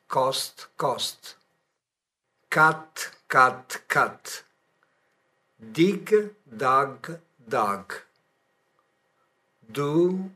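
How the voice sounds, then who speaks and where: A man recites verb forms one at a time at a very slow, deliberate pace. Each word is emphatic and separated, with pauses left for learners to repeat. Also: mid-range voice, fairly bright tone. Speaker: language course reader. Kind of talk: drilling verb forms for repetition.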